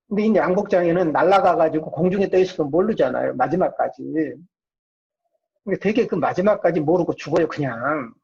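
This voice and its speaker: A male lecturer with a fairly high voice explains ideas to his students in an informal, conversational way. He likes to pose rhetorical questions and answer them. The recording is somewhat dull and lo-fi. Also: darkish tone. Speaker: lecturer, psychology professor. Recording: dull, muffled lo-fi audio.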